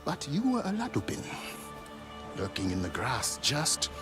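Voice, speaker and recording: An actress playing a bitter, exiled clan warrior, theatrical, heated and accusing, in a game drama. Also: female voice, very deep voice, big pitch swings, measured pace, full character voice. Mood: angry, accusing. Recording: music behind the voice.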